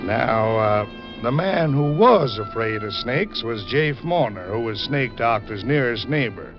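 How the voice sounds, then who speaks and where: Folksy storyteller over music. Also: male voice, medium pitch, animated, unhurried. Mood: scornful, wry. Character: folksy backwoods storyteller, wry and unhurried. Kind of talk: 1940s radio drama narration. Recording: muffled old radio broadcast. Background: musical score.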